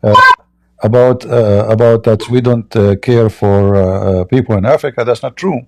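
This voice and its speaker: A man's voice: on the low side, moderate pace, background noise. A man hosting a live online debate stream, answering a caller in a direct, emphatic way. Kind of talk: live-stream call-in reply.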